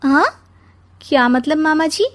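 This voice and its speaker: A woman's voice: high voice, expressive, a little slow, pitched above the performer's own voice. A woman voicing a cheeky, confident young daughter-in-law in a comic cartoon story, perky and taunting. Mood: teasing.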